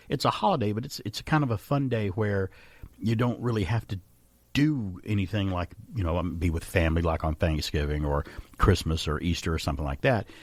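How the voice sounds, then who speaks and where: A man co-hosting a radio show, chatting casually and jokingly, with a low gravelly voice. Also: no echo. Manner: animated, jokey, fast talker with impressions of others. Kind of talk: radio chat show banter. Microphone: studio mic.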